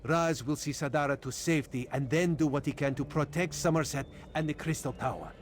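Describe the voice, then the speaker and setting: A man's voice: a little high, steady tone, fairly quick, slight background noise, natural voice. An actor playing a wry, roguish cat-man agent in a fantasy video game, with a droll, theatrical manner. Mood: resolved. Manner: third-person speech, droll, theatrical.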